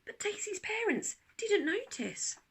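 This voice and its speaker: A woman narrating a children's storybook like a teacher reading aloud, expressive, with dramatic pauses. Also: very high-pitched.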